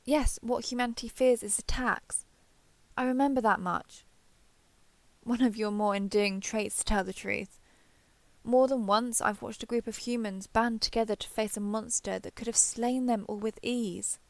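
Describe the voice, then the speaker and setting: A woman's voice: on the high side, fairly even intonation, moderate pace, no room sound, lightly acted. A woman voicing a proud, ancient female dragon in a fantasy roleplay audio, with formal, haughty, archaic phrasing. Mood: fond, reflective.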